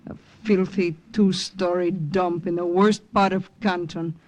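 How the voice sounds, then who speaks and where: An actress playing a proud, bitter young woman haunted by war, grave and deliberate in an old-time radio drama. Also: lowish voice, fairly even intonation, darkish tone, lightly acted. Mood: somber, reflective. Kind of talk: old-time radio drama acting, first-person narration and dialogue. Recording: vintage radio drama recording.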